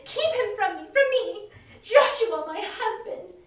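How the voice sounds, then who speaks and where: A woman's voice: very high voice, animated, measured pace, pitched above the performer's own voice. A woman voicing a tender, anxious, fearful Victorian wife with a pleading manner, in a dramatic story reading. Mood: terrified pleading. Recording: roomy, distant-mic live online reading.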